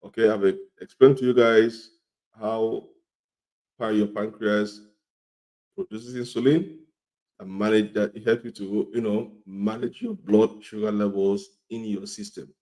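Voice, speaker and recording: A middle-aged man with a cold and a slightly hoarse voice, teaching an informal health lesson, repeating his points and often asking "okay?". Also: fairly low pitch, steady tone, a little slow, warm tone, poor audio. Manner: repetitive, often checks in with "okay?". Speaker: health educator.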